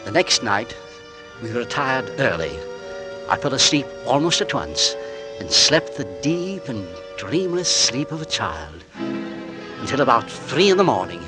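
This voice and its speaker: An actor playing an anxious, tender husband in a 1940s radio suspense play, with a melodramatic delivery. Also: male voice, medium pitch, big pitch swings, a little slow, poor audio. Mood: brooding, dramatic. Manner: melodramatic, genteel, hesitant in dialogue. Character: anxious, tender husband narrating his own mysterious illness. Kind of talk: 1940s radio suspense acting, first-person narration and dialogue. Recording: vintage radio drama with music underneath.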